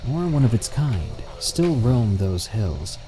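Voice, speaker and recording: A man reading submitted encounter stories aloud in steady, measured scripted narration. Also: mid-range voice, background noise.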